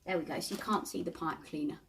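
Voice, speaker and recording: A woman's voice: fairly low pitch, steady tone, quick pace, vocal fry, a little hiss or hum. Woman chatting through a craft tutorial, sometimes distant. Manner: chatty, self-talking while working. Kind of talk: casual hands-on craft tutorial.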